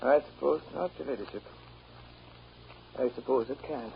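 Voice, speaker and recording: An actor playing an obsequious, hesitant and wordy Irish rent agent with an Irish accent in a 1930s radio drama. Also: male voice, medium pitch, moderate pace, vocal fry, lightly acted. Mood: nervously enthusiastic. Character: deferential, rambling Irish rent agent, anxious before his betters. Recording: muffled, hissy 1930s radio broadcast.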